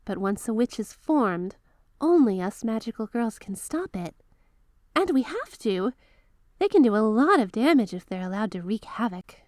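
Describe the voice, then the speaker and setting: Woman acting a high-voiced, eager magical girl mentor. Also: sing-song, normal speed, darkish tone, no echo, clean studio sound. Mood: earnest, instructive. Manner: chatty, eager, teacherly, addresses listener directly. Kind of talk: ASMR roleplay, scripted character acting.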